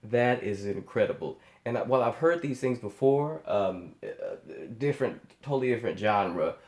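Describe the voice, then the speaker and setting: A man's voice: mid-range voice, lively intonation, normal speed, darkish tone, roomy sound. A man giving casual, off-the-cuff reaction commentary as a host, speaking with amazed emphasis and often repeating his words and phrases. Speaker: host of a music reaction channel.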